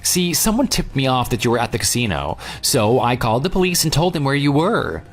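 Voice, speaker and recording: Sarcastic, animated jilted man, played by an actor. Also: mid-range voice, a little fast, soft background music. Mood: smug. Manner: sarcastic, taunting. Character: vengeful jilted ex-fiancé, sarcastic and coldly smug. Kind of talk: dramatized phone dialogue.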